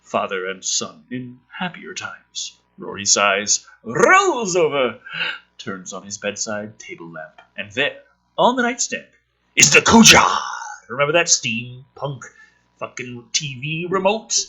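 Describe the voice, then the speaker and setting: A man with a very bright, forceful voice that has a rough, husky edge. He reads script aloud with exaggerated drama, then drops into rambling, sarcastic, profane commentary as the host. His pitch swings around in a lively way. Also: slow, poor audio. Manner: sarcastic, exaggerated dramatic delivery when reading. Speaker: host reading and commenting on a film script draft. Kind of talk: casual sweary commentary mixed with dramatic script read-aloud.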